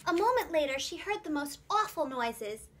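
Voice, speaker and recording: A woman narrating a children's storybook in an expressive, clearly enunciated storyteller's manner for young listeners. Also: high-pitched, normal speed. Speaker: preschool teacher and children's storyteller.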